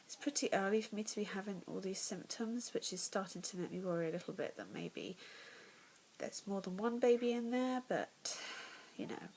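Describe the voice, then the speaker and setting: A woman's voice: medium pitch, lively intonation, normal speed, darkish tone, a little hiss or hum, rough recording. A young English woman vlogging casually about her pregnancy, congested and nasal, chatty and candid, often dropping to a whisper, at home in the evening. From England.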